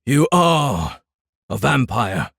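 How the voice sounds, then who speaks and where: A menacing samurai who is something other than human, for a fantasy audiobook. His voice is husky and full of gravel, and he gives orders and threats slowly and formally. The pitch moves widely, from a growl to a high bark. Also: sing-song, fairly bright tone, no room sound, clean studio sound, heavily acted. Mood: coldly insistent. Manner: commanding, deliberate, formal threats. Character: menacing inhuman samurai captor, centuries tormented, furious and commanding.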